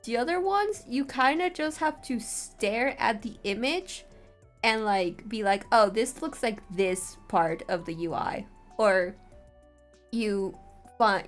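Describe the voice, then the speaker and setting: A woman streamer with a medium-pitched voice gives an informal tutorial. She talks in a chatty, conversational way and checks in as she explains. Music plays along with her voice. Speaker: streamer teaching how to make visual novels. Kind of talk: casual livestream tutorial talk.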